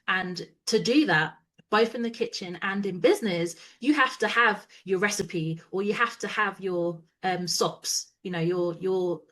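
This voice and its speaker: A woman, a business co-founder and interview guest, who explains her ideas in a measured, steady way. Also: medium pitch. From London, England. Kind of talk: casual interview chat.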